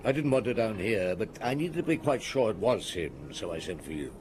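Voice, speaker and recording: An actor playing a shrewd, world-weary male chief inspector in a detective audio drama, measured and probing. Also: on the low side, sing-song, background noise. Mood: calm, businesslike. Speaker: lead actor and narrator.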